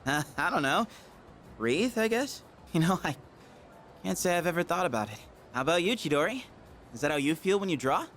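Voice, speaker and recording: A cocky, goofy teenage boy from a video game, with a fairly high, very animated voice. He talks casually and without rush, slipping between awkward earnestness and theatrical boasting, with some music underneath. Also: a little fast, pauses often. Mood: awkward, reflective. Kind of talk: English video game voice acting.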